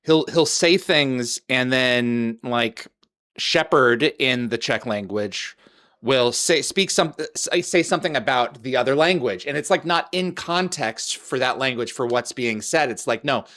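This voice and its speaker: A man's voice: medium pitch, animated, a little slow, fairly bright tone. A man hosting an interview over a video call, eager and chatty, full of trivia about the show. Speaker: podcast host. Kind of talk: casual interview chat.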